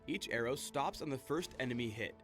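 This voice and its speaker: A man's voice: mid-range voice, animated, brisk, fairly bright tone, faint music behind. A man reading scripted explainer narration for a video game in a precise, instructional way. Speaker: narrator of a game champion spotlight.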